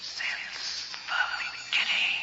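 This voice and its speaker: An actor playing an ancient, solemn crystalline being in an old radio drama, in a toneless whisper with halting, archaic phrasing. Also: male voice. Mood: solemn, eerie. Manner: slow, halting, archaic diction. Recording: dull, noisy, echoey vintage radio recording.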